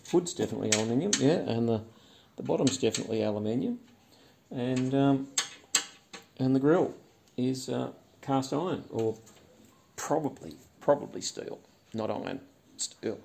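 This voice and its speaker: A man, talking casually and haltingly in a garage as host of his own channel. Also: medium pitch, animated, vocal fry, mellow, a little hiss or hum. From Australia. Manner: rambling, hesitant with many fillers. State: hoarse after a night out. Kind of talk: casual vlog. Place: cluttered garage workshop.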